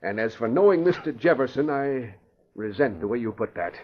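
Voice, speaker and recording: A man's voice: medium pitch, expressive, brisk, slightly rough. An actor playing a nervous middle-aged town manager hiding his past, who stammers and turns defensive, in a 1940s radio drama. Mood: indignant. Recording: dull lo-fi old radio broadcast.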